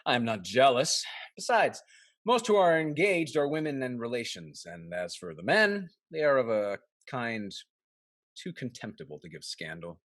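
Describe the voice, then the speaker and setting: A man plays a worldly, sardonic gentleman of Restoration comedy. His delivery swings widely in pitch, theatrical and dismissive, in a close, dry recording. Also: big pitch swings, normal speed, dry recording. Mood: dismissive, amused. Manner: theatrical, sardonic period phrasing. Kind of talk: Restoration comedy play reading.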